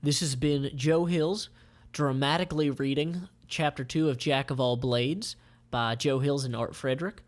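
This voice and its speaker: A man's voice: medium pitch, fairly even intonation, moderate pace. A man narrating a comic aloud in an informal, offhand, conversational way.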